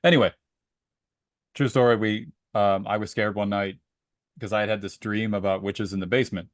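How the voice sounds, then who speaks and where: Male podcast co-host, lowish voice, dry close sound, conversational storyteller who slips into quoted dialogue. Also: steady tone, moderate pace, no echo. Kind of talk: casual book discussion with personal anecdotes.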